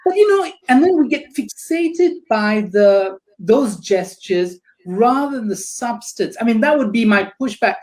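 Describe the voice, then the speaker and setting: A woman's voice: on the high side, animated, a little slow, few pauses, darkish tone, low-quality recording. A woman theatre critic on a panel discussion, speaking thoughtfully with many restarts and fillers, over a video call.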